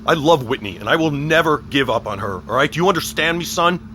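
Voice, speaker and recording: A middle-aged man with an American accent acting in an audio drama as a stern, guarded policeman and father, measured and authoritative. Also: on the high side, expressive, bright, forceful tone, slight background noise.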